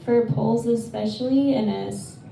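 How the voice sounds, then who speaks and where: A woman's voice: on the high side, steady tone, normal speed, creaky, dark tone. A woman translator answering audience questions at a live bookstore reading, speaking earnestly and thoughtfully with frequent restarts. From United States. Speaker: poetry translator. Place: bookstore event space. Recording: roomy, distant, noisy room sound.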